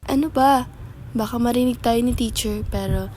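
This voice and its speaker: A woman acting as a bashful high school girl being courted in an amateur radio drama. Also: normal speed, heavy background noise, lightly acted. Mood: flustered.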